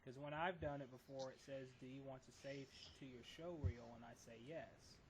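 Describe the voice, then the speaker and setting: A man chatting casually as a live stream host, thinking aloud in a halting way. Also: mid-range voice, fairly even intonation. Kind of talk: casual chat. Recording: noisy audio with clicks.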